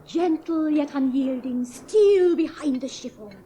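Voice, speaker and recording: A woman's voice: high-pitched, a little slow, mellow, slight background noise. An English actress in a 1970s radio play, playing a gushing, condescending newspaper reporter who dictates her copy aloud. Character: gushing, patronising woman society reporter.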